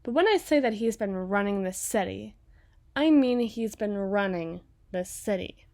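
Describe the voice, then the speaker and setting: A woman playing a cool, scheming, seductive mob boss in an ASMR roleplay, with a deliberate, theatrical delivery that often sinks toward a whisper. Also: mid-range voice, expressive, a little mellow, no room sound, clean studio sound. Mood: cool, resentful.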